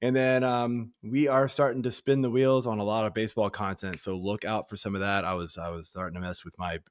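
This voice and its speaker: A man's voice: lowish voice, steady tone, a little fast, clean tone, no echo. A man in his thirties, a guest analyst on a sports podcast, talking casually and rambling with lots of "I mean.". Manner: rambling, full of restarts and "I mean". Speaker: guest fantasy football analyst.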